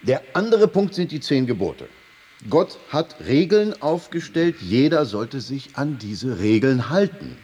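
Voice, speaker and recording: An actor playing a brisk, businesslike prison chaplain in a German radio drama, explaining things in short, didactic phrases with glib confidence. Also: male voice, mid-range voice, sing-song, a little mellow, husky, heavily acted. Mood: brisk, instructive. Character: brisk, businesslike male prison chaplain, glibly simplifying doctrine. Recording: long hollow reverberation.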